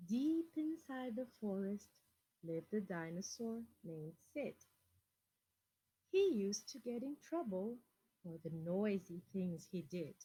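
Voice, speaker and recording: A woman reads a rhyming story to young children in an expressive, animated way. Her voice is fairly high with a husky grain, and she takes her time over the words. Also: poor audio. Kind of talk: expressive picture-book read-aloud in rhyme.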